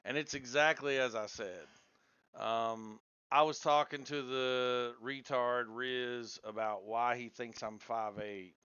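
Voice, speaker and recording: A man's voice: medium pitch, fairly even intonation, slow pace, fairly bright tone, smooth, no echo. A man with a Southern American accent arguing insistently in a debate, repeating points for emphasis. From Southern United States. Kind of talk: heated online debate. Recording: dull lo-fi sound.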